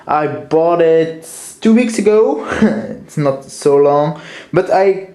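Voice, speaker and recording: A man's voice: fairly high pitch, animated, takes it slowly, dark tone, reverberant. A young man with a Dutch accent casually reviewing a toy train, hesitant and full of fillers and restarts. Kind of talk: casual show-and-tell review.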